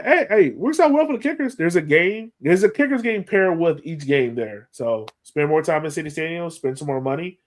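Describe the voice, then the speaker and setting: A man hosting a sports podcast in casual chat, animated and often repeating phrases for emphasis. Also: a little high. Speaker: podcast host.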